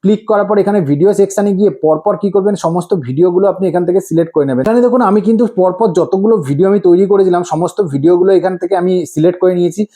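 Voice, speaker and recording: Man, high voice, quick pace, tutorial host, instructive, repeats each step. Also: warm tone. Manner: instructive, repetitive, prompting viewers with rhetorical questions.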